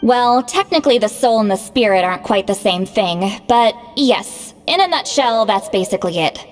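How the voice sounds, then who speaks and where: A proud young-sounding shaman from an anime game , played by a woman, who explains things briskly and bossily. Her voice is fairly high and very lively, with exasperated turns. It rings in a long reverb. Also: close to an everyday voice. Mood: explaining. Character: proud, bossy, youthful-sounding female shaman called Granny. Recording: long reverb, music behind.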